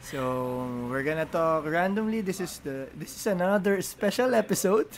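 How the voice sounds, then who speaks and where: A man from the Philippines, speaking as a non-native speaker, talks casually as a podcast guest, halting with many restarts and fillers. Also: high-pitched, animated. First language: Filipino languages. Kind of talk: casual chat.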